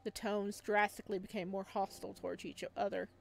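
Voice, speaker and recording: A woman reading a visual novel aloud as a let's player, expressive and now and then stumbling over the words. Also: medium pitch, fairly quick, creaky, soft background music. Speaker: video game let's player.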